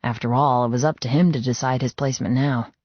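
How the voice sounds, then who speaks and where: A man's voice: medium pitch, fairly even intonation, quick pace, no room sound, muffled recording. A man narrates fiction in the first person, reading a dramatic script in a mid-range voice. The sound is close and dry, with a slightly dulled top end. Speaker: audiobook narrator.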